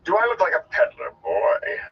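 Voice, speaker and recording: Audiobook gleeman, played by a man: flamboyant, scornful old entertainer, grand declamation with sweeping pitch, muffled and faintly noisy sound. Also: sing-song, recorded from a distance, natural voice. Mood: grumbling. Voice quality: deep, resonant. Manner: grandiloquent, declamatory, drawn-out delivery. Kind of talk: audiobook character voice.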